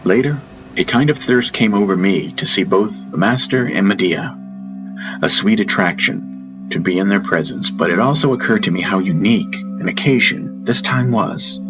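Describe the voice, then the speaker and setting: A man narrating a first-person fantasy audiobook in a solemn, measured, reflective manner. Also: lowish voice, music in the background, poor audio.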